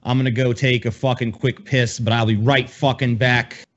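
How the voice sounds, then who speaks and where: A man's voice: medium pitch, flat delivery, moderate pace, highs cut off. An American man co-hosting a podcast, chatting casually and crudely with heavy swearing. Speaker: podcast co-host. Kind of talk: casual chat.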